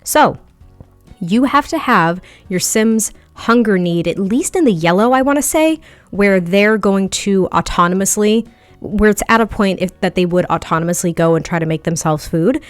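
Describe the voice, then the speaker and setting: A woman's voice: medium pitch, expressive, moderate pace, no echo. A woman giving casual, chatty voice-over commentary on a game build, a little nasal from a lingering head cold, with a close mic at her desk at home. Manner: chatty, rambling, with small laughs. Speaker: video game build creator. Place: home setup at a standing desk.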